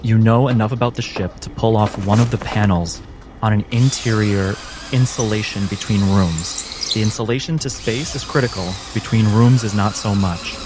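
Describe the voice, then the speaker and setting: A man acting as game master, narrating a cinematic tabletop story in a measured, descriptive, instructive manner. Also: on the low side, noisy background.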